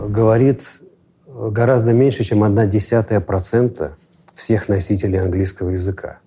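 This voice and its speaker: A man telling a wry story about studying languages in a relaxed chat. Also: lowish voice, a little hiss or hum. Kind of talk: casual storytelling.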